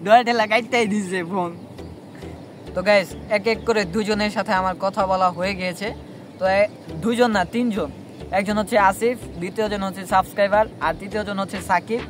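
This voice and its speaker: A man's voice: sits high, fairly even intonation, a little fast, background noise. A man speaking informally as a vlogger, chatting casually to viewers and making prank phone calls. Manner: addresses viewers directly, informal.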